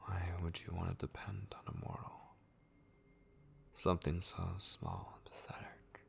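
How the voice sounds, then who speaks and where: Obsessive yandere kidnapper played by a man for horror ASMR: very deep, slow and brooding, menacing yet tender, often near a whisper. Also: sing-song, a bit bright, exaggerated voice. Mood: bitter, urgent. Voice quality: breathy, gravelly, often dropping into whisper. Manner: slow, intense, brooding delivery with drawn-out pauses. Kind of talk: ASMR roleplay horror acting.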